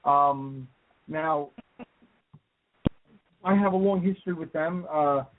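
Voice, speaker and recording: A man hosting a paranormal radio talk show, excitable and often repeating words as he speaks. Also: fairly high pitch, slow, a little hiss or hum. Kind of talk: casual radio chat. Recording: dry tinny phone-like line.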